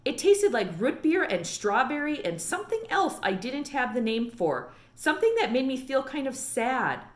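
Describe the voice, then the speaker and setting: Animated woman reading a children's book. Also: sits high, normal speed, echoey room. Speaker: read-aloud reader. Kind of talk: expressive children's book read-aloud.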